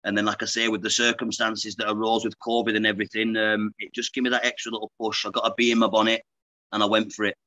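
A Lancashire man in his thirties tells his story to a podcast host over a video call, chatty and frank, dropping "mate" at the end of his sentences. His voice is bright and forward. The sound is close and dry, with no room around it. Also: on the low side, flat delivery, fast, dry recording. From Lancashire, England. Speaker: guest, pro boxer. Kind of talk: casual interview chat. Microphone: earbuds on a video call.

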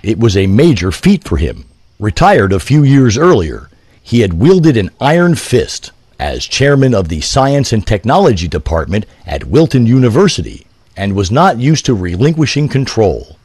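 A man narrates a literary story with deliberate phrasing. His voice is on the low side and rather rough. The intonation is lively, and the recording is dry. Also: dry recording.

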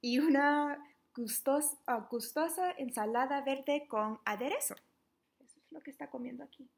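A young adult woman reading a children's book aloud interactively, in an animated, playful, questioning manner. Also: high-pitched, moderate pace, gravelly. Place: small living room at home, indoors.

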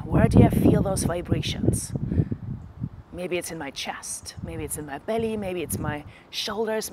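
A woman with a slightly low voice guides a meditation. She speaks gently and slowly, leaving long pauses between short phrases. The recording has clear background noise with small clicks.

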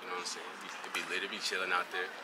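A man's voice: low voice, monotone, very fast. A young man interviewed at a night party, tipsy, talking laid-back slang. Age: young adult. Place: outdoor parking lot at night. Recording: distant mic with loud crowd noise.

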